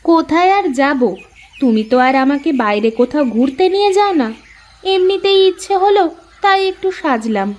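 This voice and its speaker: A woman's voice: high-pitched, animated, normal speed. A woman voicing a practical cartoon housewife who is caring but chiding and questioning. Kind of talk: Bengali cartoon voice acting.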